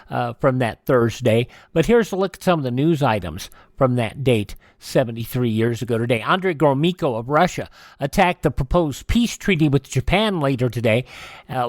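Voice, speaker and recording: Man, radio host, slightly high voice, halting news reading, self-correcting, close dry sound. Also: no room sound. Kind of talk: reading old news items between vintage radio dramas.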